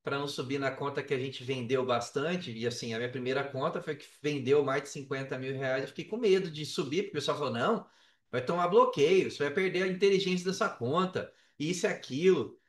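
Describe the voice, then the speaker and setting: A Brazilian man with a high voice tells a story in loose, slangy talk, slipping into quoted dialogue as he goes. He sits back from the mic in an echoey room, so the sound is roomy and a little distant. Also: a little fast. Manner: colloquial, slangy, re-enacts dialogue. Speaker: podcast guest, affiliate marketer. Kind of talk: animated casual storytelling.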